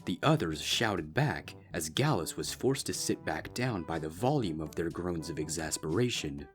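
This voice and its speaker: A man's voice: low voice, animated, normal speed, faint music behind. A man reading a scripted fan fiction story aloud as its narrator.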